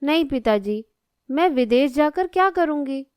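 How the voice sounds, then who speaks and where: A woman playing a dutiful, level-headed young student daughter in an animated moral story, polite and plain-spoken. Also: high-pitched, measured pace, no room sound, clean studio sound. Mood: reluctant, questioning. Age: young adult.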